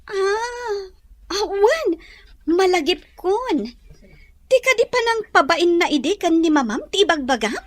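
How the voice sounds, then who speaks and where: A woman acting a playful, teasing young best friend in an Ilocano radio-style drama, giggly and expressive, with an Ilocano Filipino accent. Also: very high voice, measured pace, dry recording.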